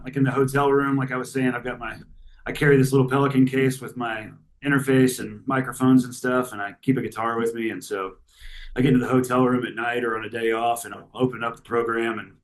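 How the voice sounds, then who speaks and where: A gravelly-voiced man in his forties talks at an ordinary middle pitch. He answers questions in an easygoing, rambling way, full of "uh" and "you know". He is heard from a hotel room through a video call. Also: low-quality recording. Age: 40s. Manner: relaxed, rambling, with frequent fillers. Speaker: guest musician. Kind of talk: casual interview chat.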